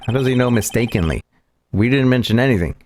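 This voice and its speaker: A man's voice: medium pitch, lively intonation, fast talker, a little mellow, slight background noise. A man livestreaming a game with casual, off-the-cuff commentary.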